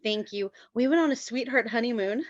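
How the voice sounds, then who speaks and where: A woman's voice: fairly high pitch, brisk, dry recording, highs cut off, voice pushed up, close to an everyday voice. A woman playing a cute, clingy, gushing newlywed wife in her thirties at a comedy table read. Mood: gushing, smitten. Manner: gushing, cutesy.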